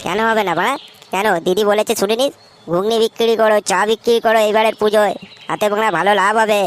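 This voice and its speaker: Cartoon casting: cocky, slangy young street-seller guy played by a woman, West Bengal Bengali accent, rapid delivery. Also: mid-range voice, steady tone, soft background music, close to an everyday voice. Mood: scheming, upbeat. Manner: brash, slangy, swearing. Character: cocky, foul-mouthed young male street food hawker. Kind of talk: comic cartoon dialogue.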